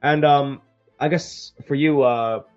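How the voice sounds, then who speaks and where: A man's voice: mid-range voice, steady tone, slow pace, smooth, lo-fi sound, soft background music. A man interviewing a student, friendly and chummy, calling him "man" and "dude". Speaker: interviewer for a language program. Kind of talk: casual interview.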